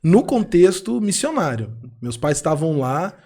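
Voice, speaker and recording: Brazilian man in his thirties, fairly high voice. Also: sing-song, a little slow, dark tone. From born in Argentina to Brazilian parents. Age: 30s. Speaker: podcast guest, pastor. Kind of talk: casual personal storytelling.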